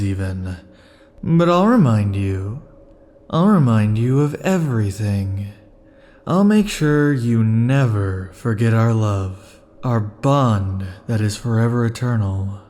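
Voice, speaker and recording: A man voicing a clingy, possessive immortal male lover in an ASMR roleplay, murmuring softly with sighs. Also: lowish voice. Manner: soft, sighing, lingering murmur.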